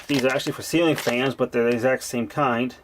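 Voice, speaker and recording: Clear background noise sits under this amateur recording of a man talking. His voice is mid-pitched, and he narrates hands-on repair work in a casual, unscripted way. The delivery is plain-spoken and informal, like a hobbyist explaining things as he works. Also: fairly even intonation, fast. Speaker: hobbyist repairer of vintage tape machines.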